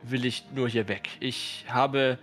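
A man's voice: mid-range voice, steady tone, slow, a bit bright, faint music behind. A man playing a grieving, troubled northern lord in a fantasy role-play, subdued and formal. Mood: grieving, resolute.

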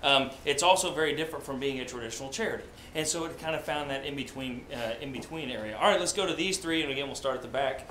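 A man's voice: medium pitch, fast, hardly any pauses, sharp tone, a little hiss or hum. A middle-aged man moderating a group discussion, walking between tables and summarizing answers in a large meeting room. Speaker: panel moderator. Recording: echoey room.

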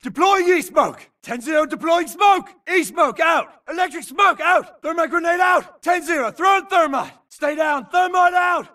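An actor playing a tense futuristic infantry soldier in a video game, shouting clipped, urgent military callouts. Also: male voice, very high-pitched, moderate pace, few pauses, distant mic.

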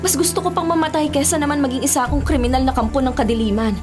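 Woman acting a very high-voiced, anguished cursed heroine. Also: steady tone, racing, music in the background, natural voice. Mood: defiant refusal. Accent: Filipino. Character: anguished, defiant young woman cursed to become a monster. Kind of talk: Filipino radio drama acting. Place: small radio studio booth. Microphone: studio microphone.

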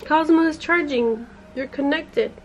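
A woman's voice: sits high, a little slow, lo-fi sound, slight background noise. A woman vlogging casually at home, reading out setup steps from an app as she chats with her child. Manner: reads out app setup steps in a light, chatty way. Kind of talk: casual chat. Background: background music.

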